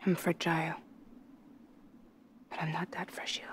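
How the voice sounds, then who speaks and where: A woman's voice: low voice, completely flat delivery, normal speed, in a whisper, natural voice. An actress playing a tough, vengeful woman in a video game, defiant and cutting with a controlled delivery. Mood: cold defiance. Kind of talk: cinematic video game acting.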